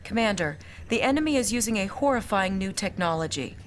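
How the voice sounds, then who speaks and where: An actress playing a composed military intelligence lieutenant, briefing crisply and formally. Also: female voice, mid-range voice, lively intonation, brisk, a loud crowd, natural voice. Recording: clear background noise.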